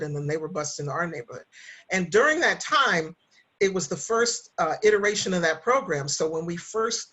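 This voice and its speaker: A woman's voice: lowish voice, fairly bright tone. A middle-aged woman chatting casually and candidly, telling personal stories in a reflective way. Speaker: podcast host and writer. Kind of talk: casual conversational storytelling.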